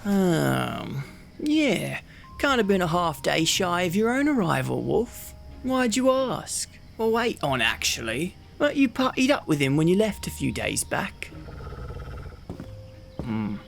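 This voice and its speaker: A man's voice: sits high, sing-song, slow pace, vocal fry, a bit bright, slight background noise, heavily acted. A man playing a jovial city gate guard in a fantasy ASMR roleplay, with a folksy, teasing manner. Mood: curious.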